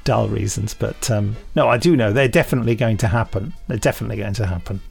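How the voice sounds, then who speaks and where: A man's voice: on the low side, quick pace, gravelly, soft background music. A British man in casual podcast chat, jokey and hesitant, rambling through his answers. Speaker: podcast host.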